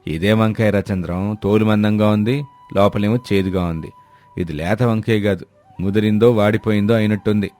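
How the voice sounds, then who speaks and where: A man's voice: lowish voice, steady tone, moderate pace, a little mellow, close to an everyday voice. A man playing an older village landlord, blunt and indignant, scolding emphatically in a dramatised moral story. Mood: suspicious, displeased.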